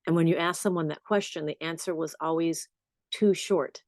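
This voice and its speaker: A middle-aged woman journalist with a low voice, answering interview questions in a storytelling manner with animated asides. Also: normal speed, no room sound, studio quality. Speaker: journalist and podcast host.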